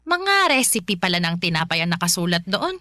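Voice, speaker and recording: A woman playing a kindly, practical older adoptive mother in a Filipino radio drama, chatty and animated. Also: fairly low pitch, moderate pace, dry recording, studio quality. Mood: reassuring.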